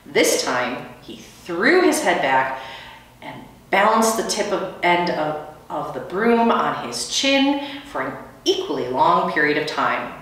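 A middle-aged woman reads a children's story aloud like a teacher. Her voice is low but varies in a lively way, and the room echoes heavily around her. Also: slow pace. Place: small indoor school room. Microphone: camera a few metres away. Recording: echoey room, distant microphone.

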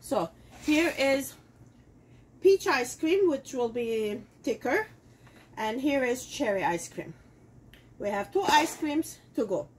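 A woman's voice: high voice, sing-song, takes it slowly, pauses often. Armenian-born woman, middle-aged, rambly kitchen demo, faint room hiss. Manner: chatty, non-native phrasing, many fillers. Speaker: cooking show host selling kitchenware. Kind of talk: casual cooking demo. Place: home kitchen.

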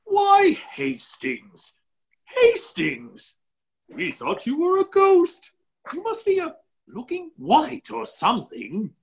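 A woman's voice: sits high, wildly swooping pitch, slow pace, vocal fry, heavily acted. A woman playing a bumbling, absent-minded duke in a play reading, rambling and repeating words. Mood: startled.

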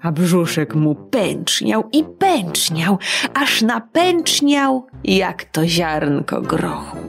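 A woman reading a children's audiobook in an expressive, sing-song storytelling manner. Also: lowish voice.

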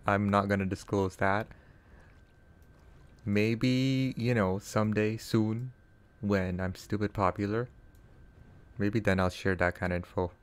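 Relaxed Canadian man streaming a game. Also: on the low side, unhurried, pauses often. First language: not English. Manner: relaxed, chatty, answers chat and laughs often. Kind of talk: casual live-stream chat while gaming.

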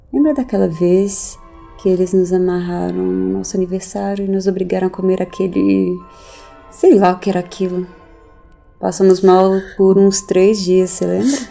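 A mellow, creaky voice, acted by a woman for an unhinged, sardonic woman in an audio drama. She muses theatrically and nihilistically, with faint music behind her. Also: on the low side, fairly even intonation, moderate pace. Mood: tender, reassuring. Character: unhinged woman recounting her cultish family's cruelty, mocking, nihilistic.

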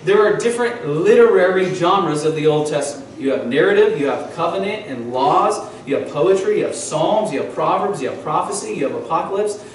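A man in his thirties preaches at a church pulpit, asking his congregation questions as he teaches. His voice sits a little high for a man. It carries through a very echoey sanctuary, caught by a mic set some way off, with a faint background hiss. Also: animated, brisk, hardly any pauses. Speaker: pastor. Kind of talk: sermon. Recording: echoey church hall from far off.

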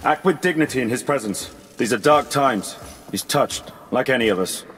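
A man's voice: mid-range voice, moderate pace, a bit bright, natural voice. A man playing a stern, formal, dutiful castle guard in a video game. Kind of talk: video game cutscene acting. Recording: echoing hall with faint ambient noise.

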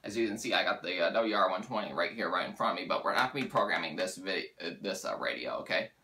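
A man's voice: deep voice, lively intonation, fast, gravelly, pushed and bright, slight background noise. A young American man casually hosting a how-to tutorial, talking informally as he demonstrates. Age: young adult. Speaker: weather radio hobbyist, video host.

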